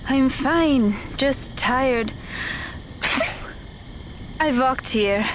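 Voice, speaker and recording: A woman's voice: high-pitched, very slow, noisy background. A woman acting in an audio drama as a weary, guarded runaway teenage magical girl who answers tersely. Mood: weary, stubborn.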